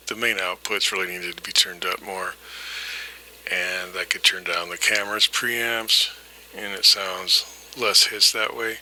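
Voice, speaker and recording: A man's voice: fairly low pitch, pushed and bright. A man thinking aloud in a casual, unscripted hobbyist demonstration, rambling and tentative, speaking through an old tape-recorder microphone. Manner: rambling, tentative, self-deprecating. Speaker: hobbyist vlogger demonstrating vintage audio gear. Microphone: old reel-to-reel recorder microphone. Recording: noisy vintage tape-recorder microphone, hiss.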